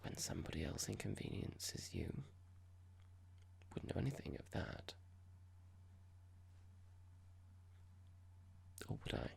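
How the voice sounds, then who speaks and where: A performer playing a cold, smug corporate boss in a whispered ASMR roleplay, speaking slowly and deliberately with breathy creak and mock politeness. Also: deep voice, big pitch swings, a little mellow, exaggerated voice. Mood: smug, condescending.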